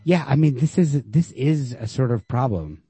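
A man talking through a book in casual discussion, halting and peppering his thoughts with "like". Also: medium pitch, lively intonation, warm tone, breathy edge, dry recording. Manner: halting, filler-heavy with frequent "like", casual swearing. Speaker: podcast host discussing short stories.

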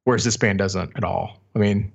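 Lowish-voiced man co-hosting a music podcast. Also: fairly even intonation, moderate pace, gravelly, slightly rough, lo-fi sound. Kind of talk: casual album review chat.

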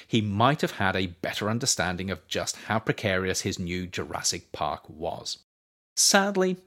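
Measured man reading his own book. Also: deep voice, a bit bright. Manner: measured, emphatic reading that rises on key words and drops at sentence ends. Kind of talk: audiobook narration.

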